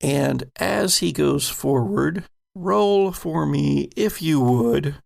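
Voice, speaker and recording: A man narrating a horror sci-fi tabletop game as game master, in a deliberate, descriptive way. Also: fairly high pitch, gravelly, a little mellow.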